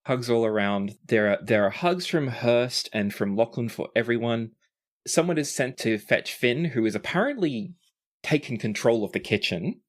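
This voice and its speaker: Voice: medium pitch, animated, moderate pace. A game master narrating a tabletop role-playing story in a conversational way, slipping into characters' lines in passing.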